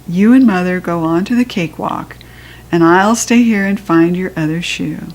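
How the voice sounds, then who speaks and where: A woman doing a firm, lowered storybook dad. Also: normal speed, darkish tone, lightly acted, rough recording. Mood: reassuring. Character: grumpy adult father, stern but caring. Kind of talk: children's storybook character reading.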